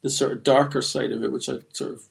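Creaky-voiced man telling stories. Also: medium pitch, flat delivery, fast talker. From Scotland. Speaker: guest comic artist. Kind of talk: conversational storytelling in a podcast interview.

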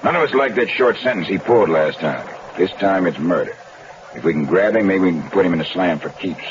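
Low-voiced radio police detective. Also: male voice, lively intonation, fairly quick. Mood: grim. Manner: clipped police slang. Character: gruff, no-nonsense police detective. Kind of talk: 1970s radio drama acting. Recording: dull, noisy vintage radio recording.

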